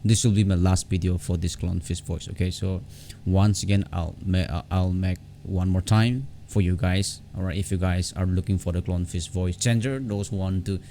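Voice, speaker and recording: A man with a very deep voice and a lot of fry walks viewers through software step by step. His delivery is informal and halting, often doubling back on words. A faint layer of noise sits behind the close desktop-mic recording. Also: fairly even intonation. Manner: halting, repeats words and phrases. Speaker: tech tutorial vlogger. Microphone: separate desktop condenser microphone. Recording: low background noise on home recording.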